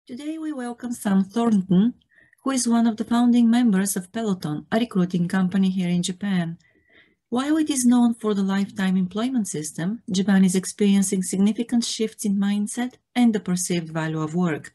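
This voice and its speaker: A woman with a voice of middle pitch opens a podcast as co-host. She reads from a script in an even, measured way. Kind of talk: scripted introduction.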